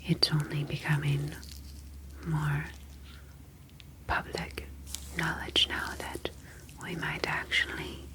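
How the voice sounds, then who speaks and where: A woman performs a calm alien scientist from the deep sea in a whispered ASMR roleplay. The delivery is very slow and even, breathy and formal, gently reassuring while staying clinical. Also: poor audio. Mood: apologetic, explaining. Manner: slow, measured, formal phrasing.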